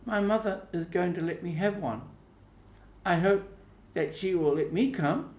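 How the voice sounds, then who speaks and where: A man acts out a proud storybook schoolgirl, showy and easily wounded. The voice is lifted high and emphatic, with the echo of a room around it. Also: unhurried, darkish tone.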